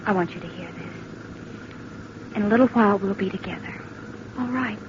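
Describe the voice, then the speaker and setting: An actress playing an anxious, devoted young sweetheart, earnest and insistent, in an old-time radio drama. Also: female voice, mid-range voice, normal speed, close to an everyday voice. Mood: anxious, pleading. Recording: muffled, noisy vintage radio recording.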